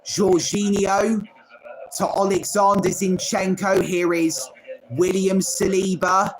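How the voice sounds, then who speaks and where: A man's voice: high voice, level tone, slow, poor audio. A man hosting a live football watchalong stream, calling each pass by player name and chatting with viewers between plays. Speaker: livestream host.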